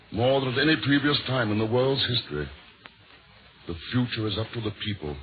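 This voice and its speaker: Man, low voice, solemn deliberate oratory, formal wartime-era address, tinny 1940s radio sound. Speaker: speaker reading a signed statement. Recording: tinny vintage 1940s radio, faint music.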